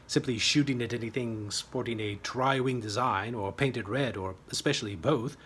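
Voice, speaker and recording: A man's voice: medium pitch, normal speed, fairly bright tone, a little hiss or hum. A man reading his own short story as a scripted narration, with a wry, tongue-in-cheek manner.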